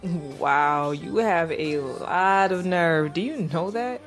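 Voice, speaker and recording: A woman's voice: on the low side, slow pace, faint music behind. A woman playing an angry, distrustful and stubborn wife in an audio drama. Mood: irritated, confrontational. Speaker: actor and narrator in an audio drama.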